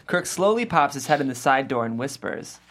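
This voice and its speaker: Animated table-read narrator. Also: medium pitch, a little fast. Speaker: stage-direction narrator.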